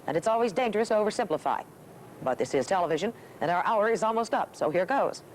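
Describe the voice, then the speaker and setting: Brisk, animated American woman narrator. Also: medium pitch, slight background noise, low-quality recording. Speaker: television documentary host. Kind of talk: scripted narration.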